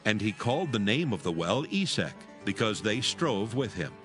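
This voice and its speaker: Low-voiced male scripture narrator over music. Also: sing-song, normal speed, fairly bright tone. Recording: music behind.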